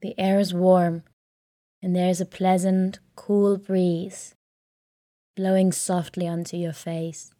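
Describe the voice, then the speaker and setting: Slow, mellow woman guiding meditation. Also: on the low side, dry recording, studio quality. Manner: calm, soothing, gentle delivery with long pauses. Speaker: meditation guide. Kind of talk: guided sleep meditation, soft scripted narration.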